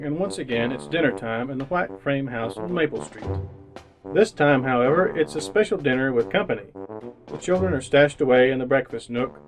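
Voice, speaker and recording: A man narrating and pitching a sponsor as an old-time radio announcer, in a polished, folksy scripted style. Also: lightly acted, poor audio.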